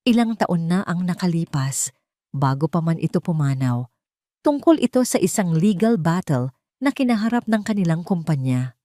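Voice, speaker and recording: A woman's voice: lowish voice, big pitch swings, normal speed, warm tone, no echo, clean studio sound. A woman with a Filipino accent reading a scripted, dramatic story as its narrator.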